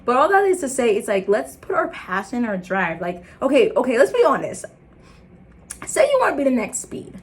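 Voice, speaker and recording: A young woman with an American accent, born in Liberia and raised in the States, talking to camera in an earnest, animated motivational vlog. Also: moderate pace. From Liberia, raised in the United States. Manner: earnest and animated, laughing, jumping into high excited bits. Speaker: content creator. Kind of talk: casual motivational vlog talk.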